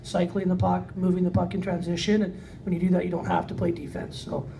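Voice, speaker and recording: A man's voice: high voice, little pitch movement, brisk, gravelly, dull audio. A middle-aged man, an assistant hockey coach, giving plain-spoken post-game remarks peppered with "you know". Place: indoor press conference room. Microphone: far camera. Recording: distant camera mic, noisy room.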